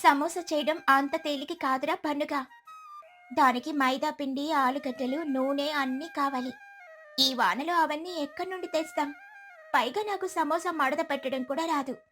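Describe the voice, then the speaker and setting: A woman's voice: high-pitched, steady tone, normal speed, a bit bright. A woman voicing a practical, kindly mother sparrow who cooks and instructs in a cartoon story. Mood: friendly, practical. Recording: music behind the voice.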